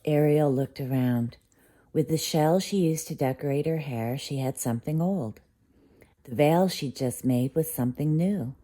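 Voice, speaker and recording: A woman narrating a children's bedtime story in an expressive read-aloud style. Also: low-pitched, measured pace. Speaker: storybook reader.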